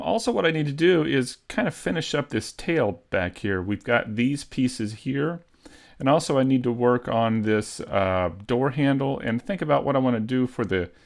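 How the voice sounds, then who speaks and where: Slow, gravelly-voiced man on a tutorial stream. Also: medium pitch. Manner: hesitant, thinking aloud with long pauses. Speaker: livestream host teaching 3D modeling. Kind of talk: casual livestream tutorial chat.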